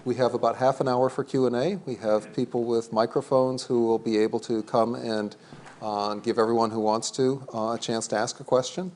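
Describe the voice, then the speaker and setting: A man's voice: mid-range voice, steady tone, normal speed, darkish tone, slight background noise. A man moderating a panel discussion before a live audience, talking in a conversational, self-deprecating way. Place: event hall before an audience.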